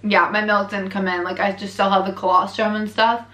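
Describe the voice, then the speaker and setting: Young Canadian woman, vlogger, candid and chatty, bright tone, room echo. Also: mid-range voice, little pitch movement, brisk, slight background noise. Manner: chatty, candid, laughs often, lots of fillers. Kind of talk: casual chat, reacting to her own earlier video. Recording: echoey room.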